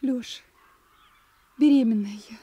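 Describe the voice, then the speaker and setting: High, mellow, tender young woman. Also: slow, husky, lo-fi sound. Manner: plain colloquial speech, affectionate and reproachful. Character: tender, stubborn pregnant young woman, thief's sweetheart. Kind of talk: radio play acting.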